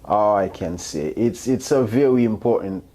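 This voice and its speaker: A man from Haiti with a Haitian accent, a physician guest answering interview questions in a hesitant, halting way full of ums. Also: lowish voice, dark tone.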